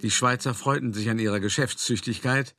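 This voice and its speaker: A man playing a shrewd, worldly art dealer who recounts his past in a radio drama, in a wry, measured, conversational way. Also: medium pitch, fairly even intonation, crowd noise, close to an everyday voice. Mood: sly, pleased. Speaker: narrator. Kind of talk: radio drama monologue, first-person storytelling.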